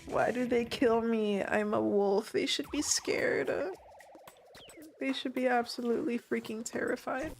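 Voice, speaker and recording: A woman's voice: fairly high pitch, fairly even intonation, unhurried, vocal fry, soft background music. A young American woman streaming a game, chatting casually with her viewers, swearing now and then and laughing often. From United States. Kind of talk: casual gaming livestream chat.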